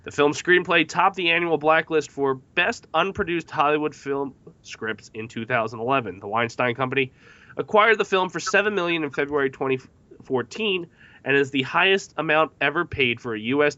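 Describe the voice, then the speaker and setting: A man's voice: a little high, sharp tone, no room sound, highs cut off. A man hosting a casual film discussion, partly reading from notes in a jokey, self-mocking way. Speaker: podcast host.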